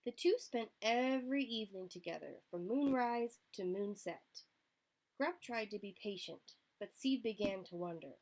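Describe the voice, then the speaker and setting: Animated woman reading to children. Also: mid-range voice, normal speed, low-quality recording. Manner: expressive, addresses child listeners with questions. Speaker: storyteller for young children. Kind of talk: interactive picture book read-aloud.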